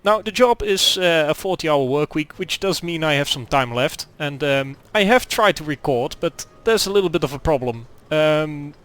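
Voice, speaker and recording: A man's voice: fairly high pitch, expressive, moderate pace, hardly any pauses, fairly bright tone, smooth. Hesitant Dutch-accented man narrating gameplay. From Netherlands. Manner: hesitant, many fillers and restarts. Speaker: gaming commentator. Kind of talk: gameplay commentary.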